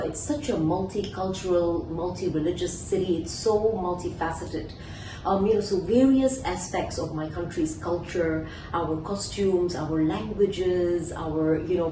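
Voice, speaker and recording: A woman's voice: lowish voice, a little slow, noisy background. A woman being interviewed, chatting enthusiastically and running through long lists of things. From Singapore. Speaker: guest, collage artist. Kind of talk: casual interview answers. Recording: echoey room, voice from a distance, faint ambience.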